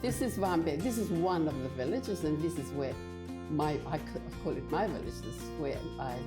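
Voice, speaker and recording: An older woman from Fiji, talking in a halting, hesitant way as a guest in a casual church interview. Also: deep voice, expressive, over music. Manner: hesitant, halting with many restarts.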